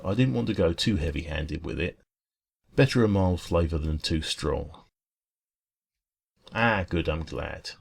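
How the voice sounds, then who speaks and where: Man voicing a deep, gravelly, gentle dragon baker. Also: heavily acted. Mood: friendly, interested. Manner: gentle, rambling, hesitant, earnest enthusiasm about baking. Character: friendly, food-loving dragon café baker, kind and chatty. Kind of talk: ASMR roleplay, soft casual chat.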